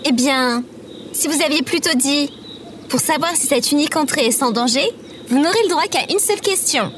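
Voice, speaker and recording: A woman's voice: high voice, moderate pace, noisy background, lightly acted. A woman playing a polite, logical, helpful adventurer in an audio drama, speaking precisely.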